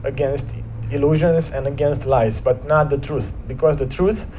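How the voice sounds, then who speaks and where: A man from Romania with a Romanian accent, giving an earnest inspirational talk at a church service, slowing down to stress key phrases. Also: mid-range voice, steady tone, mellow, slight background noise, rough recording. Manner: earnest, measured storytelling with slowed emphatic phrases. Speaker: guest speaker.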